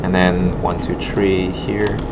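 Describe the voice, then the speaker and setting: A muffled recording with clear background noise carries a man's fairly low voice. He talks like an instructor giving an informal classroom demo. His delivery is hesitant, as if working things out aloud. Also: little pitch movement, slow pace. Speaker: digital art instructor. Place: indoor classroom. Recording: muffled, noisy classroom recording with air-conditioning hum.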